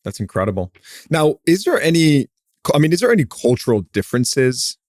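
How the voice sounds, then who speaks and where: A man's voice: fairly high pitch, sing-song, fairly quick, a little mellow, dry recording, clean studio sound. A man hosting a business podcast, asking curious questions in a loose style full of fillers. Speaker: podcast host. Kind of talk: podcast interview.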